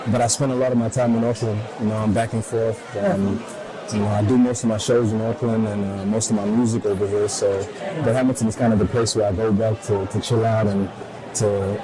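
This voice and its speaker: A young man from Rwanda, raised in South Africa, answering interview questions in a soft, hesitant way with many ums. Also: lowish voice, little pitch movement, a little fast, music in the background, crowd noise, low-quality recording. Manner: soft-spoken, many ums and you knows. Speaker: rapper guest. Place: busy lounge.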